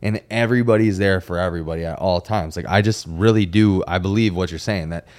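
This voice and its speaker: A man with a low voice hosts a casual podcast chat. He talks informally and with plenty of slang, putting his opinions out plainly. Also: fast, darkish tone. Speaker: podcast host.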